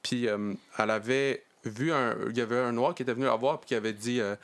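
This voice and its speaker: Man, Quebec French accent, fast-paced talk, animated storytelling, casual podcast chat. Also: mid-range voice. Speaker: podcast guest. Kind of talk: casual discussion.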